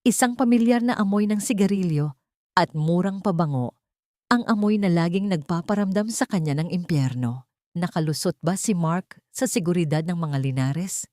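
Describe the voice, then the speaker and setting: A woman reads a dramatic audiobook in a measured, unhurried way. Her voice sits low and mellow, and the sound is dry and close to the mic. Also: no room sound. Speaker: storyteller. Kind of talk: scripted dramatic audiobook narration.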